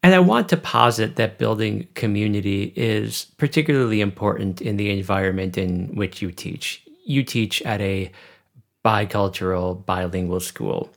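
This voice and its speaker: Man interviewer, gravelly, lowish voice, formal elaborate questions. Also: normal speed.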